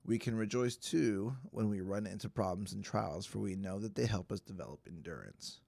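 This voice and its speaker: Hoarse, gravelly-voiced man teaching casually. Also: lowish voice, moderate pace, dark tone, dry recording. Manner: chatty, self-deprecating, informal. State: hoarse, stuffy voice. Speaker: pastor. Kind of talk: casual livestreamed Bible teaching.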